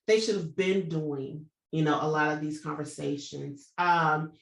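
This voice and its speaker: Low-voiced woman host in an echoey room. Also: measured pace, creaky. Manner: stumbling, apologetic, with restarts. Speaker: podcast host. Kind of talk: reading a guest introduction, then casual interviewing.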